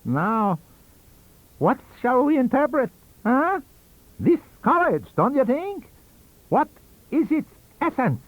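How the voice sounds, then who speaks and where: A man voicing a cunning, wheezy old foreign scientist-magician in an audiobook, with a theatrical, halting and emphatic delivery. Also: very high voice, sing-song, no room sound, voice pushed up, heavily acted. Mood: eager. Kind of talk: audiobook character reading. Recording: dull lo-fi audio cassette.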